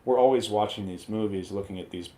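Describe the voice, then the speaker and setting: The sound is roomy and echoey around a fairly low male voice. He talks like a panelist on a movie review show, casual and opinionated. His delivery rambles conversationally and is freely peppered with swearing. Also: fast talker, dark tone.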